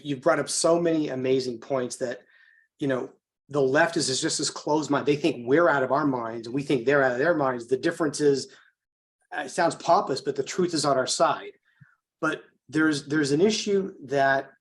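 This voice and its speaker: American man interviewing, mid-pitched voice. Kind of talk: conversational political interview.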